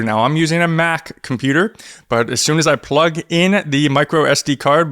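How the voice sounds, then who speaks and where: A man's voice: a little high, a bit bright, no echo. Man explaining a product, dry close mic. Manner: conversational, step-by-step explaining. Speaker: product reviewer. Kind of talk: casual explanatory product review to camera. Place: small home office studio, indoors. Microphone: close desk microphone on boom arm.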